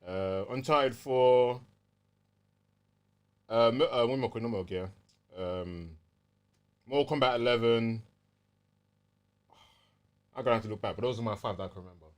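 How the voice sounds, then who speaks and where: A man's voice: mid-range voice, normal speed, long pauses. A man with a London accent chatting casually and easily as a gaming podcast co-host, using plenty of slang.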